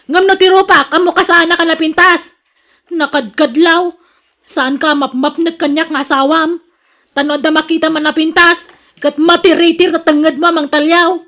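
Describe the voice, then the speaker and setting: A woman's voice: extremely high pitch, steady tone, normal speed, fairly bright tone. A woman with an Ilocano accent playing a jealous, nagging wife in a radio drama, accusing and sarcastic. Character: jealous, nagging, suspicious wife of a working man.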